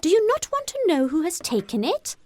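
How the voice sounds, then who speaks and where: High, excitable, nagging British wife. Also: female voice, a little fast, full character voice. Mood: eager, impatient. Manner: exclamatory, gushing, fretful. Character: excitable, nagging middle-aged English wife, self-pitying and gossipy. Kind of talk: audiobook character acting.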